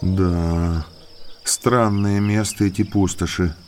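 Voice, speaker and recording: Casting: a courteous, deliberate naturalist and moorland guide, deep register, enigmatic air, audiobook drama. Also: male voice, steady tone, very noisy. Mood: grave warning. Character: well-mannered male naturalist, eager guide to eerie moors. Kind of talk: Russian audiobook drama acting.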